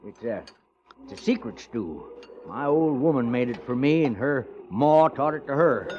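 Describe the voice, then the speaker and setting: An actor playing a garrulous, stubborn old cook with an American Western accent and colorful frontier slang, in an old-time radio Western. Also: male voice, medium pitch, expressive, normal speed, natural voice. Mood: folksy, genial. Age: older. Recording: muffled, noisy old radio recording.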